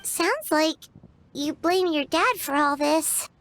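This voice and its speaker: A woman voicing a tiny childlike fairy companion in a video game, with a squeaky voice and an earnest, curious, eager manner. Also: animated, slow pace, fairly bright tone, a little hiss or hum, heavily acted. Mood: earnest, questioning. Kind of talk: scripted English video game voice acting.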